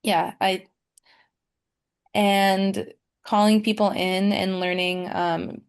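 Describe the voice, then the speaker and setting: A woman's voice: medium pitch, flat delivery, slow pace, clear, resonant tone. A woman speaking as a guest in a casual panel discussion, hesitant and full of ums and restarts.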